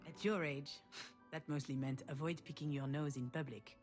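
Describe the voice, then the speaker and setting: A woman's voice: low-pitched, steady tone, normal speed, darkish tone, faint music behind. A woman playing a protective, reflective older sister in a naturalistic video game drama, earnest and wry. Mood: wistful. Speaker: game protagonist. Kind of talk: naturalistic drama voice acting, dialogue and inner monologue.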